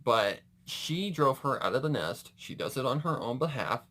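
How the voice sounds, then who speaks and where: A man's voice: mid-range voice, sing-song, normal speed, pushed and bright. A man giving casual reaction commentary as a video host, rambling and correcting himself as he goes, with mild slangy exclamations.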